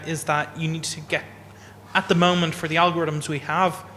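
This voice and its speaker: A man on a panel giving a careful, measured answer. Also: a little high, fairly bright tone, a little hiss or hum. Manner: careful, measured explanation with fillers. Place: event hall. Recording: reverberant hall with faint ambience.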